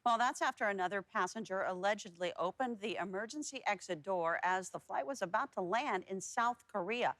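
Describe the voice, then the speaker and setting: A woman's voice: medium pitch, fairly quick, few pauses, fairly bright tone, dry recording. A woman with an American accent presenting news and weather live on television.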